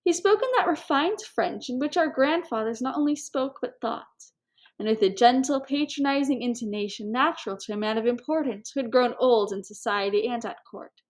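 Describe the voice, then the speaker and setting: A woman's voice: a little high, normal speed. A woman narrator reading a novel aloud in a scripted storytelling style. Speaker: reader, narrator.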